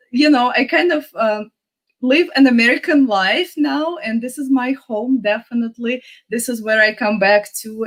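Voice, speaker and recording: A woman's voice: mid-range voice, fairly bright tone. A woman in her thirties, raised in Russia and speaking English as a second language, chatting upbeat as an interview guest with many fillers. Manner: chatty, upbeat, with frequent "you know" fillers. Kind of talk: casual interview.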